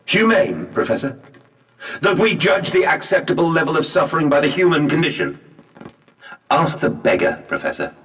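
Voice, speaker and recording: An actor with a British accent playing a fanatical, righteous Victorian industrialist in a horror game, impassioned, precise and oratorical. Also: male voice, fairly high pitch, very animated, normal speed, a bit bright. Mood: righteous indignation. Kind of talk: theatrical period drama dialogue in a horror game. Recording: distant phonograph-style conversation recording.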